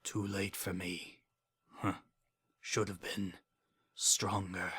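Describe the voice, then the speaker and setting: A man acts out an old shadow pony king at the end of his life in a storybook drama. The voice is faint and breathy, weary and bitter. Words come slowly and trail away. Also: deep voice, little pitch movement, a bit bright, exaggerated voice. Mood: fading, regretful. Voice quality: faint, breathy, near-whispered. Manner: halting, trailing off between words.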